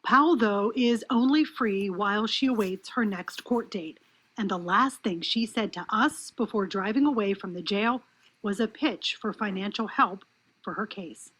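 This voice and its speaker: A woman's voice: mid-range voice, normal speed, fairly bright tone, low-quality recording. A woman with an American accent narrating a scripted television news report.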